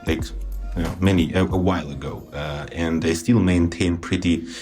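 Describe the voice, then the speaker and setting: A man with a Ukrainian accent and a very deep voice hosts a podcast conversation. He asks long, looping questions padded with filler words. Faint music sits under the talk. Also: fairly even intonation, normal speed, a little mellow. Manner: rambling, filler-heavy questions. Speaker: podcast host. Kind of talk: casual interview questions.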